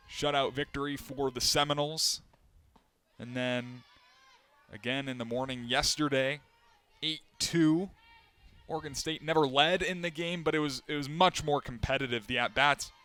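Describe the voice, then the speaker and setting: A young man calling live games as a play-by-play announcer, steady between plays and climbing sharply in pitch and volume on big moments. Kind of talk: live sports play-by-play commentary.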